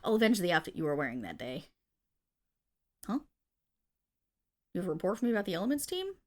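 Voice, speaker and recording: A woman's voice: fairly low pitch, very animated, fast, darkish tone. A woman playing a theatrical but bashful, lovestruck female supervillain in an ASMR roleplay audio drama. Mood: curious, frustrated. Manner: theatrical villain declaiming that flips into flustered, affectionate chatter.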